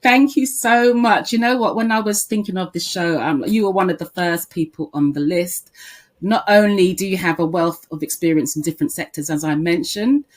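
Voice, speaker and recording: A woman's voice: on the low side, normal speed. A woman hosting a podcast, introducing her guest enthusiastically and asking about her background. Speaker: podcast host.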